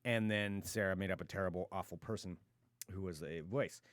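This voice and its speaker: A man's voice: low voice, fairly quick, no room sound. A young man hosting a casual podcast, teasing his co-host and laughing often. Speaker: radio show host.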